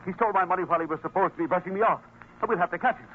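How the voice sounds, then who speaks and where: A man's voice: sits high, very fast, close to an everyday voice. A man acting a hesitant, earnest middle-aged father in an old-time radio drama. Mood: alarmed, shouting. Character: troubled father with a gambling weakness, excitable yet merciful. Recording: tinny, noisy old radio recording.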